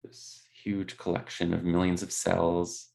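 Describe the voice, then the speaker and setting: A man leading a guided body scan meditation, speaking gently in unscripted, hesitant phrases. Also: low-pitched, monotone, measured pace, gravelly.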